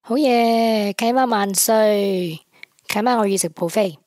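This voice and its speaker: A woman's voice: medium pitch, slow pace, warm tone, dry recording, studio quality, natural voice. A woman with a Hong Kong Cantonese accent voicing an earnest teenage boy in a radio drama, who talks in a stammering, sulky way. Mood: cheerful. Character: earnest teenage boy, devoted to his mother.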